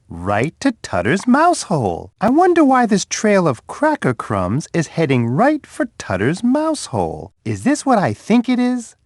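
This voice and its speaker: A man voicing a big, friendly male bear in a children's game, who speaks slowly and coaxingly to a young child. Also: a little high, dry recording, studio quality, exaggerated voice. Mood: curious. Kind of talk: educational game voice clips.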